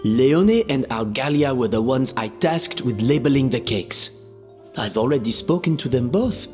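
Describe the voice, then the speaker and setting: Anime game role, male performer: composed, articulate man of authority, animated intonation, music underneath. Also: mid-range voice, normal speed, lightly acted. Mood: calm, matter-of-fact.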